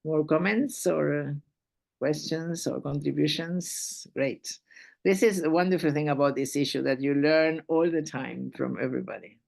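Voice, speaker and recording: Gravelly woman presenter. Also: deep voice, moderate pace. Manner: warm, laughs often, repeats herself. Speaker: webinar presenter. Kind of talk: academic talk then Q&A.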